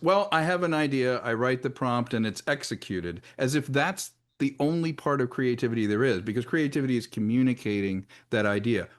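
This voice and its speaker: A man arguing his view as a panelist, emphatic and articulate. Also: mid-range voice. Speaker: panelist, audio drama professional. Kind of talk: opinionated panel discussion.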